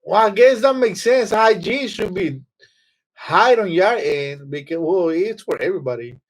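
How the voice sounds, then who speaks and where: Man, higher voice, co-host, profane, joking, teasing. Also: animated, normal speed. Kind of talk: casual interview chat.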